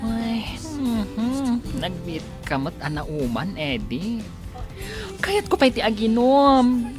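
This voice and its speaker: A woman's voice: on the high side, very animated, takes it slowly, music in the background. Woman putting on a campy, swooping, flirtatious Ilocano character. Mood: flirty. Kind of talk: Ilocano radio comedy drama acting.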